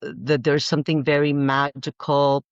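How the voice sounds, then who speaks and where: A woman's voice: sits low, level tone, measured pace, no echo, highs cut off. A woman, speaking as an architect guest in a thoughtful, earnest way. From Costa Rica. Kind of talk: reflective interview talk.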